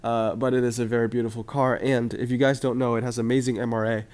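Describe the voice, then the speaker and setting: A man's voice: medium pitch, flat delivery, quick pace, darkish tone. A young man hosting casual gaming commentary to his viewers. He is chatty and upbeat, uses frequent "uh" and "um", and his voice is slightly off from a cold. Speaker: mobile game video host.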